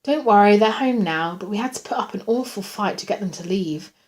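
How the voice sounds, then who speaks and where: A woman's voice: medium pitch, a little fast, close to an everyday voice. Woman as a kindly, lilting hospital nurse. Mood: teasing, friendly. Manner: soothing, lilting, sympathetic. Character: kindly, teasing, reassuring female hospital nurse. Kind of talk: audiobook character.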